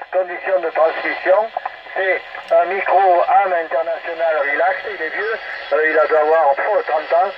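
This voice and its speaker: A man chatting casually over CB radio, rambling and hesitant, repeating himself as he goes. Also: a little high. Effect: heard over CB radio. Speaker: radio amateur operator. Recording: noisy muffled CB radio transmission. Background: radio static.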